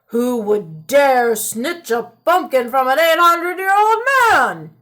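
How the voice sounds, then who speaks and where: A woman voicing a bossy, indignant eight-hundred-year-old man in a storybook, with a croaky, hollering delivery. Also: sits high, very animated, slow, fairly bright tone, heavily acted. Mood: outraged.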